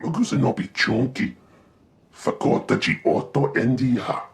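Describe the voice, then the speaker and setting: A man voicing a gruff alien henchman in a video game, speaking a guttural alien tongue with a raspy voice. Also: mid-range voice, measured pace. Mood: gruff reporting. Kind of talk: video game character acting.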